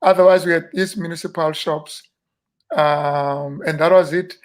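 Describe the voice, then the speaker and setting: A South African man in his fifties, a minister, reminiscing in an unhurried, rambling interview with many fillers and restarts. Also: fairly high pitch, fairly even intonation. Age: 50s. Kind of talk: relaxed reminiscing interview talk.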